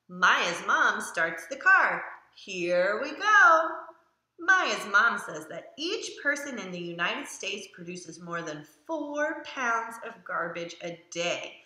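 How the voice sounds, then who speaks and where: A woman's voice: mid-range voice, big pitch swings, slow, pushed and bright, exaggerated voice. A woman voicing an eager, curious family dog who narrates a children's picture book with simple, emphatic delivery. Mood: curious, explaining. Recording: roomy echo around the voice.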